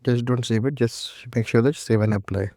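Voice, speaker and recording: A man giving an unscripted, step-by-step technical setup walkthrough in a halting, repetitive instructional way. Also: medium pitch, fairly even intonation, mellow, no echo, clean studio sound. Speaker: technical tutorial presenter.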